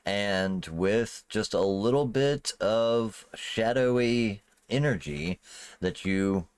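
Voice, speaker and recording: A man's voice: lowish voice, takes it slowly, clear, resonant tone, no room sound. A man improvising narration as game master of a tabletop role-playing game, in a conversational way, often checking that he is understood. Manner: conversational, often asks "you know what I mean".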